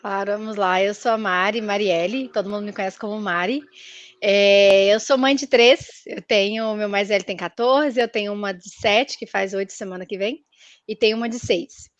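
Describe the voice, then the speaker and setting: A woman in her thirties from Goiás, introducing herself briskly in a webinar. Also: medium pitch, steady tone, dry recording. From Goiás, Brazil. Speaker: guest. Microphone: video call. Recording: dry, dull video call audio.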